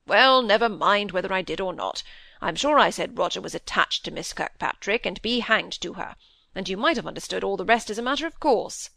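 A woman voicing a gruff, hot-tempered old country squire in an audiobook, blustering and emphatic, with rustic old-fashioned phrasing. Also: mid-range voice, lively intonation, a little fast, sharp tone, no room sound. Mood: irritated. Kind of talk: audiobook character dialogue.

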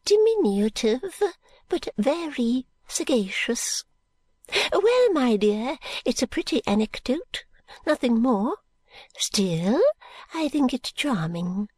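A fluttery, eccentric elderly lady, voiced by a woman reading a novel aloud. She confides in a slow, breathy half-whisper as if sharing secrets, her pitch swooping up and down. The sound is close and dry. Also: very animated, darkish tone, no room sound, exaggerated voice. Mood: confiding.

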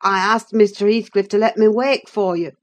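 A woman voicing a shy, rough young country man in an audiobook, awkward and easily wounded, in a gruff, halting manner. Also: fairly even intonation, close to an everyday voice. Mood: wounded protest. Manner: low, gruff, bashful, halting. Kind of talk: dramatised audiobook reading of a classic novel.

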